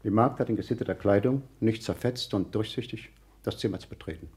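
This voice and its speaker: A man playing a fussy, dark-voiced scholarly gentleman. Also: fairly low pitch, brisk, lightly acted. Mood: prim, demanding. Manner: clipped, pedantic, demanding. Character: fussy, prim, suspicious scientific gentleman seeking a room. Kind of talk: 1960s German radio play acting.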